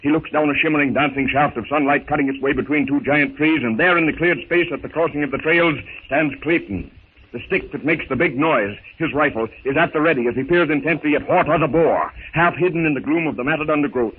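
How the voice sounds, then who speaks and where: A man's voice: a little high, fairly quick. A man narrating a radio adventure serial in a dramatic, declamatory 1930s style. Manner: theatrical, declamatory. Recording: scratchy, tinny 1930s radio recording.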